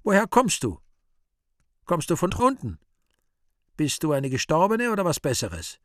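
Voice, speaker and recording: A man's voice: a little high, huge pitch swings, a little slow, no echo, studio quality, pitched above the performer's own voice. A man playing an imperious, jealous Virgin Mary as a haughty queen in a theatrical radio play. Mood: imperious, indignant.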